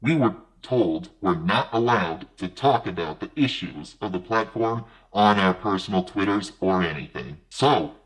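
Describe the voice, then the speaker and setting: An angry anonymous game studio insider, voiced low and deep, as a man reads his post aloud. The words come in a steady, even read, aggrieved and set on being heard. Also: a little slow, few pauses, rough recording.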